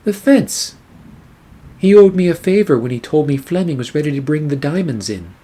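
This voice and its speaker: A man's voice: on the high side, lively intonation, normal speed, dark tone, husky. A man playing a regretful, corrupt army captain in a noir radio play, apologetic as he confesses. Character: regretful, corrupt army captain betraying his old friend.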